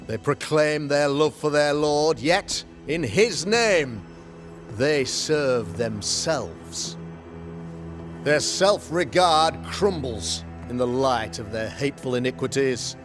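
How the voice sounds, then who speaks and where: An actor playing a pompous, self-righteous colonial governor and preacher, speaking in formal, oratorical period language in a video game. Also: male voice, fairly high pitch, lively intonation, slow, over music. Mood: preaching, fervent. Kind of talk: theatrical period drama sermonizing.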